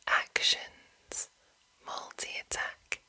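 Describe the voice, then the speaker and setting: Slow whispering woman, ASMR. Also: pushed and bright. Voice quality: breathy, unvoiced whisper. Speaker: host of a whispered ASMR channel. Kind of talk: whispered ASMR, reading and chatting.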